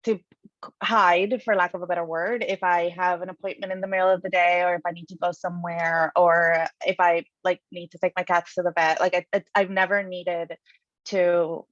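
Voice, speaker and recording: A woman's voice: fairly low pitch, flat delivery, moderate pace, a bit bright, no echo. A woman from Colombia, a native Spanish speaker, speaking candidly and hesitantly as a panelist on a video call. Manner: hesitant, many fillers and restarts, candid. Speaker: panelist, senior associate. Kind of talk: casual panel talk. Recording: dull dry video call audio.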